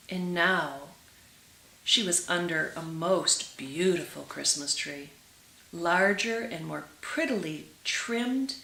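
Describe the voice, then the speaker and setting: Woman, storybook read-aloud, unhurried pace, roomy echo, dramatic drawn-out words. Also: fairly low pitch, pushed and bright. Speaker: storyteller reading aloud for children. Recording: roomy, echoing sound.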